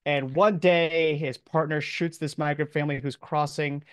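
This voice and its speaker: A man's voice: fairly high pitch, fairly even intonation, normal speed, clear, resonant tone, dry recording, studio quality. A man speaking as a college professor, giving an informal, encouraging lecture to his class in casual, slangy language.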